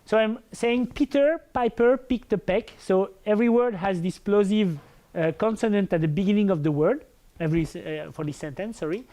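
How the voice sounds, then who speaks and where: French-accented man with a mellow voice. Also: sits high, moderate pace. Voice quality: big, full voice. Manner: hesitant, many fillers and restarts. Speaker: researcher giving a lecture. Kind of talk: academic lecture.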